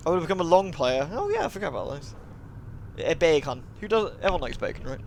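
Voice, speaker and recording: A man's voice: fairly high pitch, expressive, fast talker, creaky, slight background noise. A man livestreaming, switching between polite, thankful chat with viewers and reading narration aloud. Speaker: live streamer reading a visual novel aloud.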